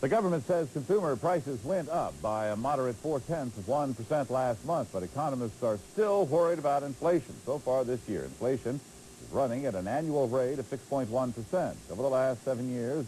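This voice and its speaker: A middle-aged male anchor reads the news in a mellow, medium-pitched voice. The studio broadcast carries a faint hiss from old videotape. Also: lively intonation, a little fast, low-quality recording. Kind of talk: scripted news reading. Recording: hissy worn VHS broadcast tape.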